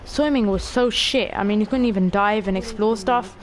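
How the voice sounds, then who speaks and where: A woman in her late teens with a stuffy nose, casually narrating a game stream, chatty and excitable, swearing offhand and talking to her viewers. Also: mid-range voice, normal speed, poor audio. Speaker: gaming streamer. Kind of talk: casual let's-play commentary.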